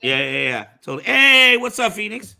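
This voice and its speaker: A man with a bright, slightly high voice hosts in a loose conversational style. He eagerly throws questions to others, often repeating short phrases as he steers things along. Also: big pitch swings, normal speed, gravelly. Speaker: talk show host. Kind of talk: casual conversational hosting.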